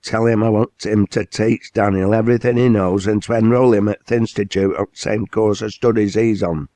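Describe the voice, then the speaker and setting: A man's voice: fairly low pitch, steady tone, mellow, no room sound, pitched above the performer's own voice, close to an everyday voice. A man doing a hearty Lancashire mill boss. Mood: commanding. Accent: Lancashire dialect. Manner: commanding, brisk, hearty. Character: blunt hearty older male mill owner.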